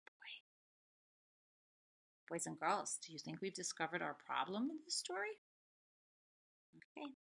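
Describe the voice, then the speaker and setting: A woman teacher with a bright, clear-cut delivery reads and talks to young children. Her pitch moves expressively, and she puts questions to her listeners. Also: wildly swooping pitch, measured pace, pauses often. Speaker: elementary school teacher. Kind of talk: children's read-aloud and lesson.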